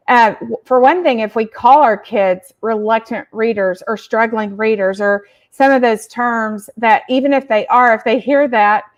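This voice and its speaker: A woman's voice: on the high side, dull audio. A woman therapist explaining tips in a casual video chat, earnest and explanatory, recorded over a webcam. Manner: earnest, explanatory, laughs easily. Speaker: therapist guest and regular. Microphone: video call webcam.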